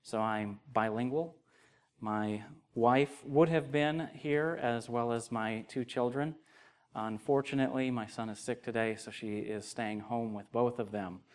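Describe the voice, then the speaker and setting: A man's voice: mid-range voice, fairly even intonation. Young Midwestern male pastor, earnest sermon delivery, mid-range voice. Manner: earnest, measured, grows more emphatic. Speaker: associate pastor. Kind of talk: sermon with opening prayer.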